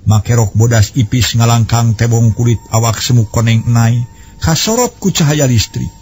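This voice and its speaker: A man's voice: medium pitch, normal speed, a little mellow, slight background noise. A man with a West Javanese accent telling a story in dramatic radio style, recorded on old cassette. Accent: Sundanese-language West Javanese.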